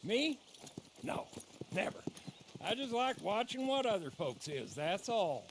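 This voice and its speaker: Creaky, high-pitched old Western rascal. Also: male voice, big pitch swings, measured pace, slight background noise, exaggerated voice. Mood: wry, folksy. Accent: American. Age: older. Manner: folksy, drawling, sly. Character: clapped-out old rascally Western drifter, sly and folksy. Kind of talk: video game cutscene acting.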